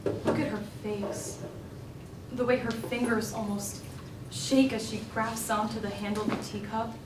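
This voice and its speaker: A woman's voice: on the high side, steady tone, measured pace, lightly acted. A woman acting on stage as an opinionated, frustrated woman arguing her side earnestly and emphatically. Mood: earnest, insistent. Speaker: stage actor. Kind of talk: stage play dialogue. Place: indoor stage, recorded from afar. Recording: echoey stage recording, distant mic, noisy.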